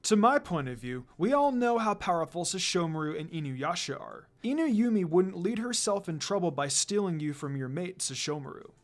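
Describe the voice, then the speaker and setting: A man's voice: high voice, very animated, normal speed, hardly any pauses. A man plays a meek young henchman in a fantasy story. He is nervous and apologetic, speaking timidly to those above him. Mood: cautious.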